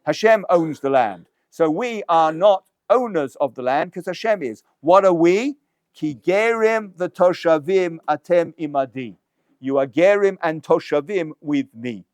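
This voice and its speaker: A rabbi teaching a Torah class, explaining a text step by step with rhetorical questions and dry asides. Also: male voice, fairly high pitch, expressive, a little slow, darkish tone, no room sound. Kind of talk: lecture-style text study.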